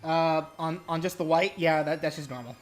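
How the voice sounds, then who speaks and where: A woman's voice: deep voice, moderate pace, faint music behind. A woman hosting a casual live stream, rambling and restarting her words as she talks to chat.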